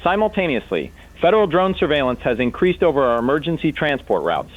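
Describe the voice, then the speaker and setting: A man's voice: fairly high pitch, sing-song, a little fast. A man playing an urgent field medic in an audio drama, giving a formal report of danger through a radio filter. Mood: urgent, alarmed. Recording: tinny, narrow phone-like radio line.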